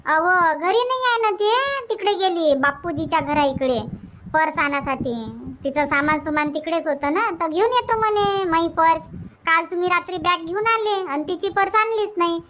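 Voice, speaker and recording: A woman's voice: extremely high pitch, normal speed, fairly bright tone, slight background noise. A woman voicing a busy, grumbling village wife in a cartoon comedy, with colloquial Vidarbha Marathi. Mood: explaining.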